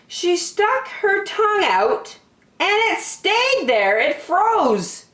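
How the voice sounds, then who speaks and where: Very animated woman reading a children's story. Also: very high voice, roomy sound. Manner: expressive, drawn-out emphasis on key words. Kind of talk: animated picture book read-aloud.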